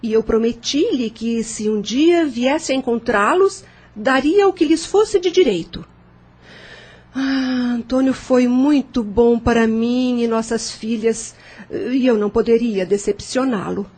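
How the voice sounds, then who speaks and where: A woman playing a kindly, gracious lady of means in an audio novel, with a husky voice and a Brazilian accent. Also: a little high, a little slow, darkish tone. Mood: sympathetic. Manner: gracious, reassuring. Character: kindly, talkative, cheerful widowed lady of means.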